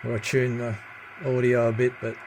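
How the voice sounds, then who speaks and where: A man giving a casual hands-on demonstration as a radio hobbyist, with a low-key, halting delivery. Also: completely flat delivery. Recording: noisy room with radio static. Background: CB radio chatter and static.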